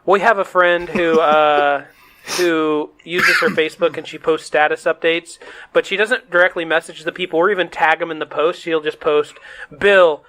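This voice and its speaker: A man's voice: on the high side, fairly even intonation, normal speed, pushed and bright, low-quality recording. A man hosting a podcast, chatting casually with the others. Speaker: podcast host.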